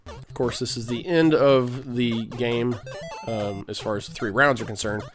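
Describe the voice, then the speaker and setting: A man's voice: medium pitch, steady tone, unhurried. Guy with a cold chatting through a retro game, lots of ums, music playing behind. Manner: rambling, with frequent fillers and throat clearing. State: has a cold. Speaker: let's play host. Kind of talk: casual gameplay commentary.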